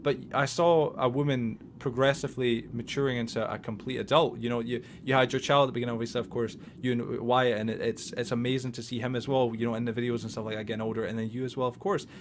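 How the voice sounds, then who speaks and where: Bubbly young Scottish man, quick talker. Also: mid-range voice, slight background noise. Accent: softened Scottish. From Scotland. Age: 20s. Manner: bubbly, energetic, stammering restarts. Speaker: podcast host. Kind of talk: casual interview chat.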